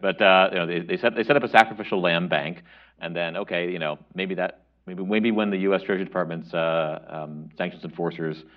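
Male panelist, extremely deep voice, hesitant, muffled lo-fi audio. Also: steady tone. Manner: halting, filler-heavy. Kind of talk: panel discussion. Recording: dull lo-fi audio.

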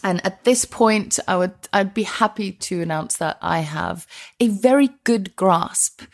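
A woman's voice: mid-range voice, measured pace. A woman from Iceland, a transport historian, speaking English as an enthusiastic, reflective guest in a podcast interview.

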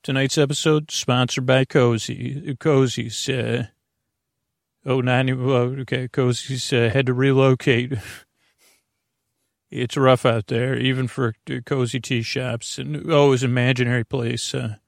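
A man hosting a bedtime podcast, talking in a lulling, creaky way and wandering off on meandering tangents. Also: medium pitch, flat delivery, unhurried, dry recording, studio quality. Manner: meandering, tangent-prone, self-interrupting. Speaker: podcast host. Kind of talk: rambling bedtime podcast talk.

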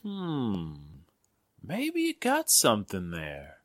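A man's voice: mid-range voice, huge pitch swings, takes it slowly, no echo, studio quality. A man acting a playful, wisecracking fellow in a 1940s-style radio drama, with jokey, theatrical patter. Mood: playful, wry.

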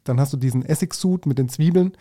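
A man's voice: mid-range voice, fast, warm tone. A man co-hosting a food podcast, talking casually with informal slang and the odd English phrase.

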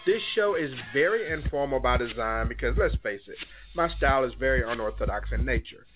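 A man hosting a call-in radio talk show, speaking in a measured, earnest way with some fillers and sounding a little stuffed up from a cold. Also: mid-range voice, lively intonation, faint music behind. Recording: tinny phone-line sound.

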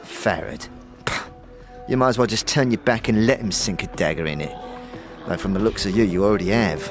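A man playing a brusque, impatient tavern barkeep in an audio drama, halting and grudging in his delivery. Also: on the low side, lightly acted. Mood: wry, cynical. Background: prominent music.

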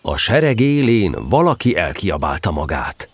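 A man narrating a children's story as an expressive, scripted storyteller. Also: deep voice, husky, no room sound.

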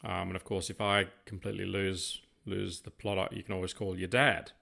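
Older man, Australian accent, creaky, fairly low pitch, podcast co-host, rambling, deliberate. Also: flat delivery, sharp tone. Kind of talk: casual podcast chat.

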